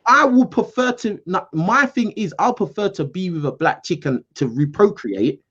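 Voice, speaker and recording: A man from the UK, hosting a call-in show in a casual, animated debate, repeating himself for emphasis. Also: high voice, moderate pace, breathy edge, muffled recording. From United Kingdom. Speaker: host.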